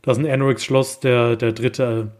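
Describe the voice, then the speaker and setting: Man in halting, casual film chat. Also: mid-range voice, level tone, darkish tone. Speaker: podcast co-host.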